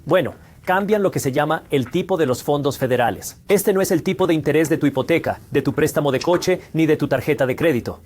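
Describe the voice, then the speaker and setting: A man narrates a scripted explainer in a fairly high-set voice. He moves very quickly through his points. His manner is didactic, posing questions and answering them like a teacher. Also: darkish tone. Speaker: host of a finance explainer channel. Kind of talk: scripted explainer narration, dubbed from another language.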